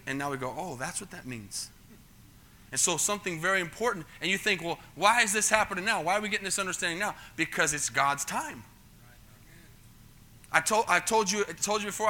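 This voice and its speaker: A middle-aged American pastor with a high, very bright and forceful voice. He preaches in a chatty teaching style, stumbling over repeated words and often asking the congregation whether they follow. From United States. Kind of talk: sermon.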